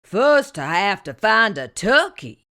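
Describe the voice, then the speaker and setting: A woman putting on voices for the animal characters of a read-aloud picture book, slow and exaggerated. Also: mid-range voice, very animated, sharp tone, exaggerated voice. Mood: cheerful. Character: mixed animal characters, moose, rabbit, goats, sheep.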